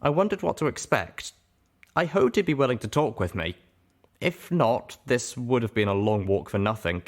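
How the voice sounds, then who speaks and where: A man reading a first-person story aloud as an expressive audiobook narrator. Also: medium pitch, moderate pace. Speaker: audiobook reader.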